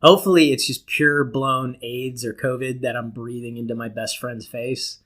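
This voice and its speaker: A man co-hosting a podcast with a very bright, forward voice. He chats casually and irreverently, joking crudely and swearing as he goes. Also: medium pitch, fairly even intonation, moderate pace. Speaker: podcast co-host. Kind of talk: casual comedic banter with swearing.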